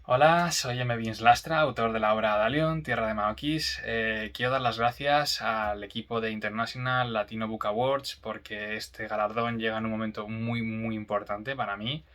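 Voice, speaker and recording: A man author offers heartfelt thanks in a lower voice, his gratitude plain in every phrase. He sounds moved by the honor. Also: fairly even intonation, brisk, few pauses, fairly bright tone. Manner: grateful, emotional. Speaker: children's book author. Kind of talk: thank-you message.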